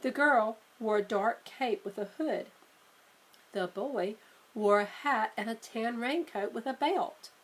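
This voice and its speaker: A woman narrates a children's storybook as a read-aloud. Her voice sits fairly high and she takes her time, with measured, expressive phrasing. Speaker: teacher reading aloud.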